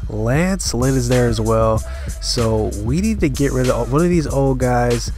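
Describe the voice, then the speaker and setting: A man with a stuffy, slightly congested voice talks through his game at an easy, mid-pitched level. He thinks aloud as he goes, filling pauses with "uh", while soft music plays faintly underneath. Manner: thinking aloud, relaxed, many fillers. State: stuffy, recovering from a cold. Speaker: gaming channel host. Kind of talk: casual gameplay commentary.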